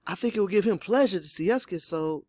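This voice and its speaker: Male narrator as young woman, earnest, outspoken, insistent, questioning, lifted pitch, lively storybook delivery. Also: quick pace, dry recording, studio quality.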